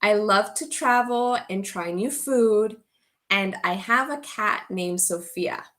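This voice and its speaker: A woman teacher from Guatemala, giving a slow, clear orientation to an online English as a course. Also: medium pitch, a bit bright. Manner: slow, deliberate delivery for language learners.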